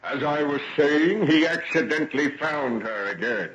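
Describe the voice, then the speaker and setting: A sinister gentleman in a vintage radio play, taunting and falsely courteous, his pitch swinging dramatically. The recording is dull and old, with noise and clicks behind the voice. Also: lively intonation. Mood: mocking. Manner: taunting, theatrical, drawn-out polite phrasing. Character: sinister, mocking gentleman, secretive and theatrically polite.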